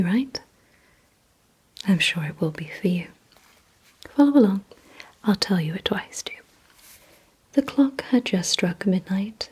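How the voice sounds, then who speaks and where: A woman whispering an ASMR roleplay as a composed, commanding vampire, giving slow, deliberate, coaxing instructions in a breathy voice. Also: mid-range voice, mellow. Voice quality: breathy, mostly unvoiced whisper. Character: composed, commanding female vampire quizzing her listener. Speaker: ASMR performer.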